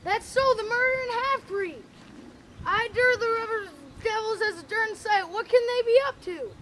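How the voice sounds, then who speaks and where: A woman's voice: very high voice, moderate pace, fairly bright tone, slight background noise, voice pushed up, rough recording. Woman doing a frightened backwoods boy. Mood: scared. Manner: frightened, rustic dialect. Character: scared, superstitious ragged boy Huck.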